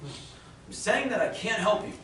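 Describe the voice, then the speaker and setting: A man's voice: high voice, animated, unhurried, fairly bright tone. A man playing a stuffy, exasperated bank manager. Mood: stiff, patient. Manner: stuffy, exasperated, prone to outbursts. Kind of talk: stage play acting. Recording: roomy hall from far off, faint noise.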